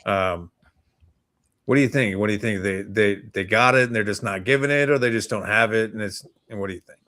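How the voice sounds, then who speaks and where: Male co-host, lowish pitch, casual chat-show delivery, agreeable and a little halting. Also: bright, forceful tone.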